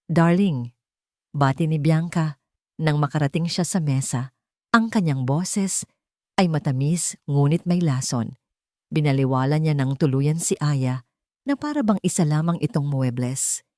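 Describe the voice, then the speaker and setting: Low-voiced Filipino woman narrating drama. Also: animated, measured pace, a little mellow, dry recording, studio quality. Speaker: audio story narrator. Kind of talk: dramatic scripted romance story narration.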